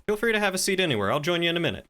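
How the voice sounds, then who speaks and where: A man's voice: high voice, very animated, very fast, pushed and bright. A man improvising in-character roleplay chat as a courteous, folksy tavern keeper in an online game.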